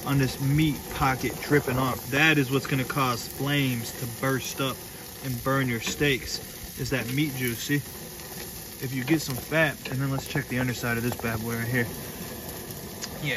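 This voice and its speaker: A man in his twenties talks casually to camera outdoors, with clear background noise on the phone-camera audio. His voice sits at a middling pitch, and he speaks in loose slang, joking and repeating himself as a home cook would on a vlog. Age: 20s. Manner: slangy, jokey, repeats phrases. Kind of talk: casual chat to camera while cooking. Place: mostly outdoors in a backyard by a grill.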